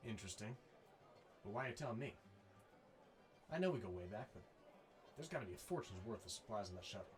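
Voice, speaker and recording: Casting: male actor for wary job-for-hire drifter, low-pitched, dry and conversational. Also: lively intonation, quick pace, close to an everyday voice. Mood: curious, skeptical. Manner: casual, skeptical, conversational. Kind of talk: naturalistic film dialogue.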